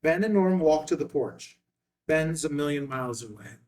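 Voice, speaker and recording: A man's voice: a little high, expressive, moderate pace, darkish tone. A man narrating scripted stage directions for a screenplay read, recorded at some distance from the microphone.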